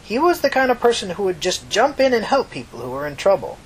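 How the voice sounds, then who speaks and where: A talkative old city woman from a storybook, voiced by a man, tired and solemn yet emphatic. The pitch sits high and moves around a lot in a folksy, earnest delivery, over faint noise and rumble. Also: sing-song, fast, rough recording. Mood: fond, proud. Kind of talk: dramatised fiction reading.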